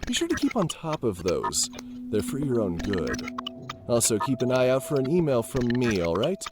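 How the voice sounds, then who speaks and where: A man's voice: medium pitch, huge pitch swings, moderate pace, over music. A man playing a prying, falsely friendly workplace boss in an audio drama, breezy and probing. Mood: breezy, managerial. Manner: breezy, probing, insincere politeness.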